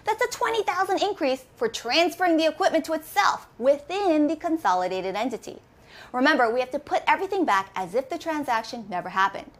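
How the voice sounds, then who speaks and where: A woman in her thirties teaching accounting, walking through each step and asking quick questions as she goes. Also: high voice, sing-song. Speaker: accounting instructor. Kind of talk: recorded lesson walkthrough. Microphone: camera framed head and shoulders.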